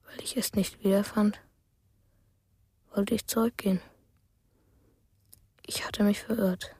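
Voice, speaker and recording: A woman playing a withdrawn, frightened young boy in a radio drama, with a soft, hushed, halting delivery. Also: medium pitch, level tone, gravelly, husky, no room sound, in a whisper, studio quality, heavily acted. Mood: dreamy, subdued. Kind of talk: German radio drama monologue.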